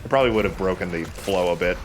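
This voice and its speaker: A man's voice: low-pitched, fast talker. Man chatting over video game noise. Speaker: let's play commentator. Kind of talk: casual game commentary chat. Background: video game sound.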